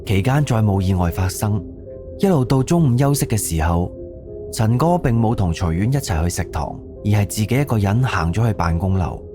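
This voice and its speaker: A man's voice: fairly low pitch, expressive, normal speed. A man with a Cantonese accent giving scripted narration for an audio drama. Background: background music.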